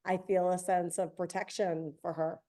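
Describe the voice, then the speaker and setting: Hoarse chatty woman in her forties. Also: on the low side, little pitch movement, warm tone. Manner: chatty, self-deprecating, quick asides. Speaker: podcast co-host. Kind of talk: casual chat.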